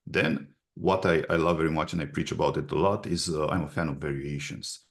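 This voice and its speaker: A man from Romania with a Romanian accent, explaining advice as an interview guest in a halting, instructive way. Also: extremely low pitch, fairly even intonation. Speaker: guest expert, agency representative.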